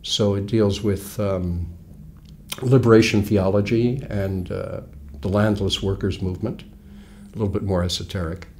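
An American man in his sixties, a guest author explaining things in a wry, measured way, recorded in a small studio on a lapel mic. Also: fairly low pitch, steady tone, darkish tone, a little hiss or hum. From United States. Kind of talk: relaxed interview talk. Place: small studio with red curtain.

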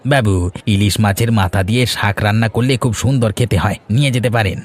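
A man's voice: lowish voice, fast, lightly acted. A man voicing a pushy, deferential market fishmonger in a cartoon, with wheedling, persistent sales patter. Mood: hawking, wheedling. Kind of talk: cartoon fairy-tale voice acting.

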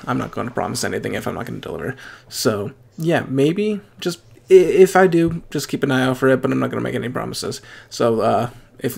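A young man talks loosely into a desk mic in a small home room, wandering through his thoughts with frequent fillers and self-corrections. His voice sits at an ordinary middle pitch with a gravelly, fry-tinged edge. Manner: chatty, rambling with fillers and restarts. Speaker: anime reaction channel host. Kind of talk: casual reaction commentary.

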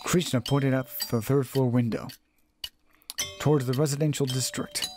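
Guy doing a let's play, reading horror story text out loud, kinda halting, mid-low voice, music clearly behind him. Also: fairly even intonation. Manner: halting, often stumbling and rereading. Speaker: let's play host reading a visual novel aloud. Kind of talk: dramatic read-aloud narration.

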